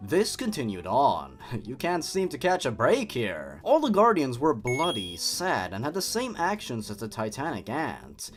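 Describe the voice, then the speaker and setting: A man reads a horror tale aloud in a highly animated voice, his pitch swinging widely. He hosts with a mocking edge, slipping into sarcastic, exasperated asides. Music plays behind him. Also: a little slow, hardly any pauses. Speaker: host. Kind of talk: dramatic reading of a horror story with mocking asides.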